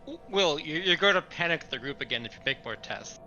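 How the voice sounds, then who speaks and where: A man's voice: a little high, expressive, fairly quick, muffled recording. A man chatting as a tabletop game player, questioning and protesting to the game master. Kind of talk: casual game-table chat. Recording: faint music behind the voice.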